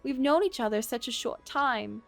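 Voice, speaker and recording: A woman's voice: high voice, expressive, moderate pace, gravelly, faint music behind, pitched above the performer's own voice. Woman as a polite, timid young princess. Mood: modest, touched. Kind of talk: visual novel character read aloud.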